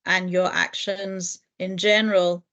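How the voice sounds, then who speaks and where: A woman's voice: lowish voice, flat delivery, unhurried, dull audio. Earnest, thoughtful woman speaking as a guest. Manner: thoughtful, earnest, with frequent fillers and soft laughs. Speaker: guest, primary teacher and lecturer. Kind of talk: reflective interview conversation.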